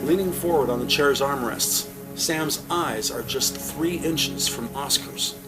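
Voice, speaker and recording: A man's voice: mid-range voice, unhurried, loud music behind the voice, rough recording. A man narrating a scripted audio drama with dramatic, present-tense storytelling.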